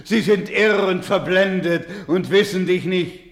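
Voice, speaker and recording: Slow, solemn male doctor, anguished, devout, pleading, radio drama. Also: sits high. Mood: desperate prayer. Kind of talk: German radio drama acting.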